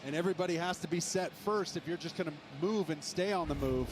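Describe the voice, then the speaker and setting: A man's voice: fairly high pitch, fast. A man giving emphatic, explanatory color analysis on a live football broadcast. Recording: TV game broadcast with loud stadium noise.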